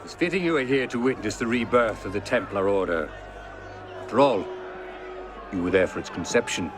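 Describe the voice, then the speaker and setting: A man playing a cold, calculating conspiracy leader in a video game, speaking in a measured, grandiose, philosophizing way. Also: lowish voice, animated, background noise, lightly acted. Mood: smug, measured. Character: cold, calculating male Templar mastermind, smug conspirator. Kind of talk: scripted video game acting.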